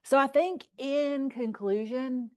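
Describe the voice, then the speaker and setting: A woman's voice: high voice, slow pace. A woman presenting at a healthcare conference, telling patient stories and results in an earnest, informal, plain-spoken way. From rural area.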